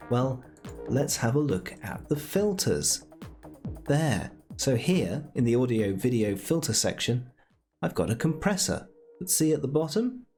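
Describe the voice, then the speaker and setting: A man presenting a casual software tutorial in a playful, chatty way with jokey asides. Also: on the high side.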